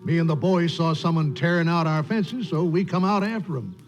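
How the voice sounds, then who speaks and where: Muffled radio ranch hand, fairly high-voiced man. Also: brisk, lightly acted. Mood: earnest. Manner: rustic cowboy dialect. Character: plain male ranch hand reporting to his boss. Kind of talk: 1940s radio western acting. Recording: muffled old radio recording, faint music.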